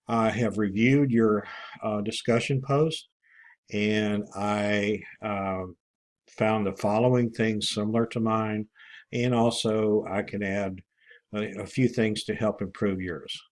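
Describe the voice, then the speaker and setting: Low-voiced man, halting online instructor. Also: fairly even intonation. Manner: halting, with many pauses and throat clearing. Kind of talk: informal screen-share walkthrough for students.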